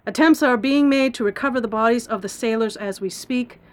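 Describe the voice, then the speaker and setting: An actress playing a brisk government press secretary in a radio drama, giving a formal briefing in a composed, factual manner. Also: female voice, on the high side, close to an everyday voice. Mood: grave, official.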